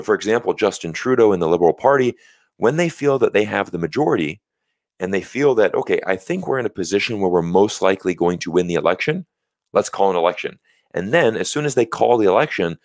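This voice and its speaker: A man's voice: on the low side, no echo. An American man in his thirties hosting a casual live stream, talking in an earnest, explanatory way with plenty of "you know" fillers. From United States. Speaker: livestream host. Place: small home studio, indoors. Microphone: large studio mic on a stand close in front.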